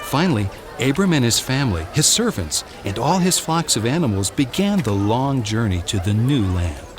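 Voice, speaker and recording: A man narrating a scripted children's Bible story in an expressive way, drawing out words for emphasis. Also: medium pitch, normal speed, music in the background.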